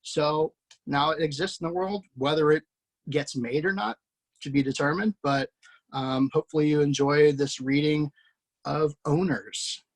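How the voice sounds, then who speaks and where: Relaxed man hosting a table read. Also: a little high, measured pace, poor audio. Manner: relaxed, with fillers and laughs. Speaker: writer and host. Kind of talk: introducing cast and narrating a screenplay table read.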